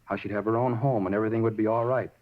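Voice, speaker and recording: A muffled 1950s radio recording of a man acting a rambling murder suspect. His lowish voice moves quickly as he insistently justifies himself, circling small details and repeating his points like someone unhinged. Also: steady tone, close to an everyday voice. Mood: earnest.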